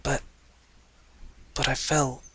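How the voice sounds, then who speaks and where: A man voicing a shaken, earnest young female pegasus pony in a halting, breathy storybook delivery. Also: mid-range voice, little pitch movement, pushed and bright, pitched above the performer's own voice, exaggerated voice. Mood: frightened, confused.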